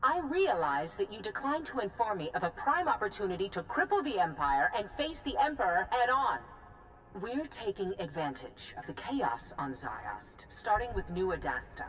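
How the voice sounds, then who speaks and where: An actress playing an imperious, hawkish chancellor who speaks in a cutting, commanding way in a game cutscene. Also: female voice, medium pitch, big pitch swings, moderate pace, lightly acted. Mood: angry, commanding. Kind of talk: video game cutscene acting. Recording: faint background noise.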